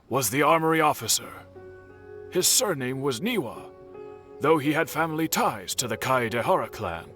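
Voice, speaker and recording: A man playing an earnest, courteous blacksmith in a video game, telling old tales formally with dramatic pauses. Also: on the high side, a bit bright. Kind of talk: video game voice acting, narrative exposition. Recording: background music under the voice.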